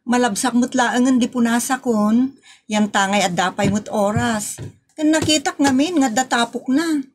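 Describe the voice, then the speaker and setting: A woman's voice: a little high, a little hiss or hum, lightly acted. A woman playing a chatty scattered wife. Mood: hurried. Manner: chatty, quick explanations. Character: busy middle-aged wife, chatty and scattered. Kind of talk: amateur comedy skit.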